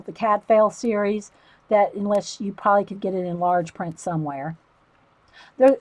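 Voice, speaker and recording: A woman with a loud voice, chatting casually to livestream viewers while she shows a coloring technique. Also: a little high, no echo, rough recording. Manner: rambling, talks to chat viewers by name.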